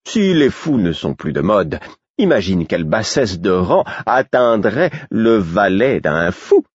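A man's voice: fairly low pitch, sing-song, measured pace, husky, no room sound, muffled recording, voice pushed up, heavily acted. A man voicing a flamboyant, mocking court jester who speaks in riddles. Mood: sly. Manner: mocking, theatrical.

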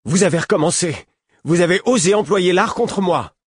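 A man's voice: high voice, animated, moderate pace, slightly rough, dry recording, studio quality, voice pushed up. Proud young prince with a lifted, indignant voice, read by a man. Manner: raised, indignant exclamations. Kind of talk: audiobook reading.

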